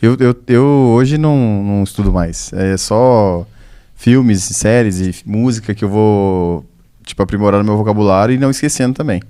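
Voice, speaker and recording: A man hosting a podcast, talking in a relaxed, reflective way. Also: lowish voice, moderate pace, smooth, dry recording, studio quality. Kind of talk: casual podcast conversation.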